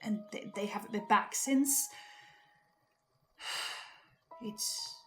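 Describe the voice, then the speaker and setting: An uneasy archivist played by a woman confides in a horror broadcast. The delivery is very slow, hesitant and stammering, at a middle pitch. Faint music plays underneath. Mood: uneasy, wondering. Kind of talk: confessional horror video monologue.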